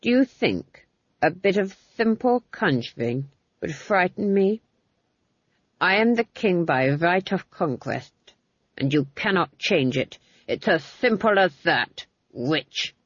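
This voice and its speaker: A narrator voicing a mad, coldly menacing usurper duke, deliberate and defiant. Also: medium pitch, lively intonation, a bit bright, no echo, dull audio. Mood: cold defiance.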